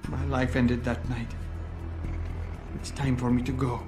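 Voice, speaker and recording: An actor playing a guilt-ridden, bitter and proud disgraced activist in a video game, speaking formally and eloquently with raw emotion. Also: male voice, mid-range voice, flat delivery, measured pace, over music, lightly acted. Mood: bitter, resigned. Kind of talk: dramatic video game voice acting.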